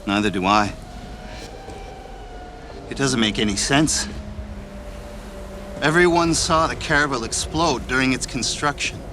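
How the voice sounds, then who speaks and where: A calm, analytical man voiced by a man lays things out slowly and deliberately. His pitch sits in the middle range. Loud ambient noise runs under the game scene. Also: close to an everyday voice. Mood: measured. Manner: measured, deliberate explaining. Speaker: game character. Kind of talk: video game cutscene acting.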